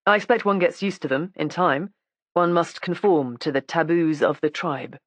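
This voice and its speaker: A woman reading the part of a courteous, dry-witted male police inspector who speaks calmly and politely. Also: fairly low pitch, normal speed, no room sound, close to an everyday voice. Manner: calm, polite and wry.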